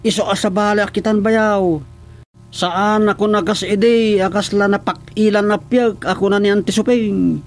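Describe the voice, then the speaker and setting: A scheming Ilocano suitor in a radio comedy, boastful and smooth-talking. He coaxes in a casual, village way, with faint music underneath. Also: sits high, fairly even intonation, moderate pace. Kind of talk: comedic radio drama dialogue.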